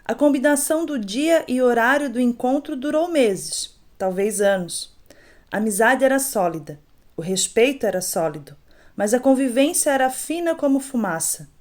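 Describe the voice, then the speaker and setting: A woman as higher-voiced radio drama narrator. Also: lively intonation, normal speed, natural voice. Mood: reflective. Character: female narrator recalling old friends' reunion.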